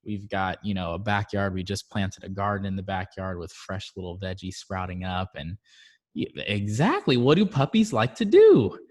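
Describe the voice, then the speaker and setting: Guy from barbados, kinda low voice, lively storytelling, video call chat. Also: moderate pace. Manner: animated storytelling with sudden high-pitched outbursts. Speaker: guest. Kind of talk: casual chat.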